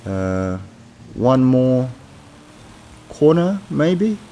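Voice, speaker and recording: A man's voice: medium pitch, sing-song, extremely slow, mellow, slight background noise. A man with a sore throat from a cold, giving casual commentary on his racing game as he plays, thinking aloud with many fillers. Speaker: gaming commentator.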